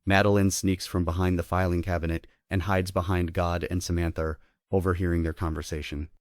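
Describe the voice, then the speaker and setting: A man's voice: sits low, little pitch movement, brisk, no echo, studio quality. A man narrating stage directions in a scripted table read.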